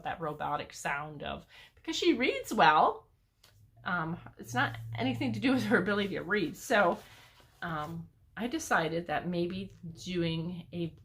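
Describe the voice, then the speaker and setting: A woman's voice: on the low side, a bit bright. An older woman, a homeschooling grandmother, chatting enthusiastically about books in a casual way with lots of fillers. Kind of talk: casual book review chat.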